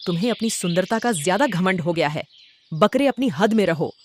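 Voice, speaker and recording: A woman's voice: on the low side, animated, fairly quick, lightly acted. Casting: woman for a plucky cartoon squirrel, firm and scolding, light bird noise behind. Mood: indignant. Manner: reproachful, firm. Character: bold, righteous little female squirrel.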